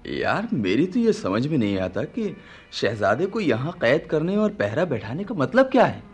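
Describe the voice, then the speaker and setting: A superstitious night watchman in a radio fantasy play, husky and colloquial. He grumbles in a very animated voice with wide swings of pitch. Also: moderate pace. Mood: weary grumbling. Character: grumbling, sleepy, superstitious male palace watchman.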